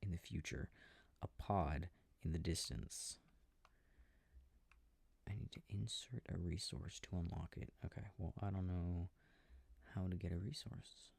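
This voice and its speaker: A low, gravelly male actor's take on a boyfriend murmurs his way through a video game in an intimate sleep-aid roleplay. He sounds affectionate and easily confused, talking slowly and casually to himself. The recording is close and very dry. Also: darkish tone, dry recording, exaggerated voice. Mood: puzzled, absorbed. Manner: muttering to himself, hesitant, casual. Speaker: audio roleplay performer. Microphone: close microphone, dry. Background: controller clicking sounds.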